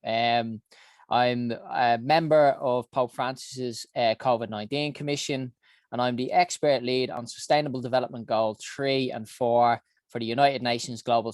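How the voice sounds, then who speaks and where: A man's voice: mid-range voice, no echo. A man in his early forties with a Dublin Irish accent, giving an earnest personal talk about his career, with many ums and uhs. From Ireland. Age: 40s. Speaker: health summit founder.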